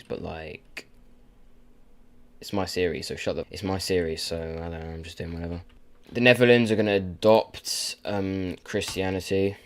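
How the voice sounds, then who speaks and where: A man with a sore throat, casually narrating a map video with rambling, filler-heavy commentary. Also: deep voice. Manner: rambling, filler-heavy, loses his train of thought.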